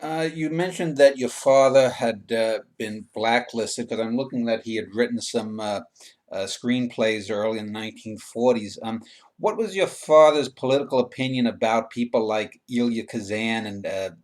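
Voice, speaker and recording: A man interviewing a guest over a video call, asking hesitant, halting questions. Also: medium pitch.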